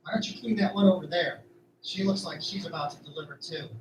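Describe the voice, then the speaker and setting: A man's voice: on the high side, fast, natural voice. A man playing an earnest, stubborn farm worker in an audio drama. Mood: urgent, determined. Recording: roomy, distant, noisy amateur recording.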